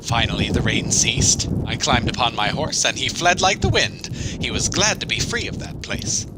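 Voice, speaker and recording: A timid, superstitious schoolmaster, acted out by a man in a spooky audio drama. He speaks in fussy, old-fashioned, genteel phrases, his pitch swinging expressively. Noticeable ambient noise runs underneath. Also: big pitch swings, normal speed, close to an everyday voice. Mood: eerie, self-important. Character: timid, polite schoolmaster, nervous yet boastfully superstitious.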